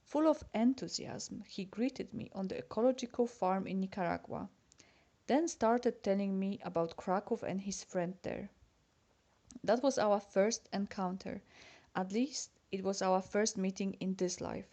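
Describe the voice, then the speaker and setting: Polish woman, native Polish speaker, confiding letter-reader, relaxed dark tone, mid pitch. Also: a little slow. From Poland. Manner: chatty and confiding, addressing a friend. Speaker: letter writer. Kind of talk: reading a personal letter aloud.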